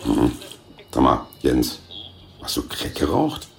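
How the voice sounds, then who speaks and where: Wry pub landlord, extremely low voice, gravelly fry, dry, laconic, radio play. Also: male voice, wildly swooping pitch, takes it slowly, full character voice. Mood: deadpan. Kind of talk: satirical radio play narration.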